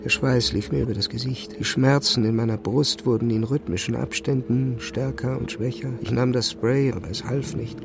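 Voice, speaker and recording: An actor playing an ailing, weary first-person narrator in a literary radio play, measured and introspective. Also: male voice, medium pitch, steady tone, vocal fry, lightly acted. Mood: strained, measured. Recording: music bed behind narration.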